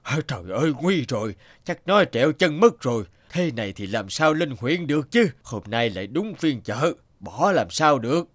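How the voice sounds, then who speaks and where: A man voicing a worried farmer in an animated folk tale, with drawn-out, fretful exclamations. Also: medium pitch, faint music behind. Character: worried bearded farmer talking to his horse.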